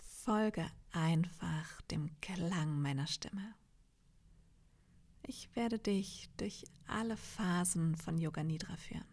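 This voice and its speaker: Soft, breathy woman guiding meditation slowly. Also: lowish voice. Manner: soft, breathy, half-whispered, soothing delivery with long pauses. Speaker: yoga nidra meditation guide. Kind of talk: guided meditation.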